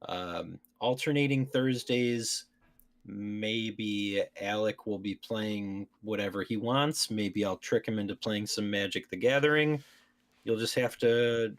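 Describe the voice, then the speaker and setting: A man hosting a casual gaming podcast, chatting informally with his co-hosts. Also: lowish voice. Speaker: podcast host.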